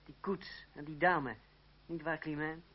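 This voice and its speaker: An actor playing a proud, wry young suitor in a Dutch radio play, speaking in a measured, ironic way. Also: male voice, on the high side, little pitch movement, slightly rough. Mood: resigned, frank. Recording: dull, hissy old radio recording.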